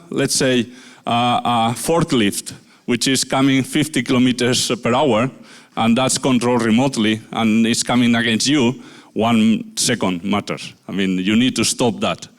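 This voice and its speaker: A middle-aged man from Spain with a Spanish accent, giving a conference talk in a halting way with many filler pauses. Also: mid-range voice. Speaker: conference speaker.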